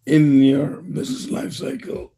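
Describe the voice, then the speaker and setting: A man with a hoarse, failing voice speaking up in a webinar discussion, halting and apologetic. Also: a little high, big pitch swings, dark tone. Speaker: webinar participant working at a startup.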